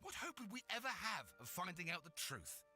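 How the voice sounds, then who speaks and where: A man's voice: high-pitched, big pitch swings, brisk, pushed and bright, soft background music, exaggerated voice. A man voicing a patient young man in a video game, speaking firmly and reassuringly. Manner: firm, reassuring.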